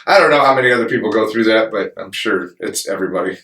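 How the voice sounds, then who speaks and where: A blunt man with a lowish but bright, forceful voice talks through his business troubles, swearing at nearly every turn. He sits away from the microphone, and the studio adds a roomy ring. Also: lively intonation, fast, far from the mic. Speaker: business owner guest. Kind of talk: casual podcast conversation.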